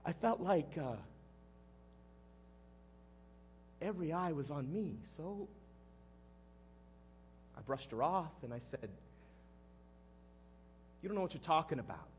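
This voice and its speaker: An actor playing a guilt-ridden, impulsive fisherman disciple in a halting, conversational dramatic monologue, with a husky male voice. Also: fairly high pitch, animated, dull audio, slight background noise. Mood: uneasy. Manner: halting, conversational, erupting into shouts. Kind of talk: dramatic first-person monologue.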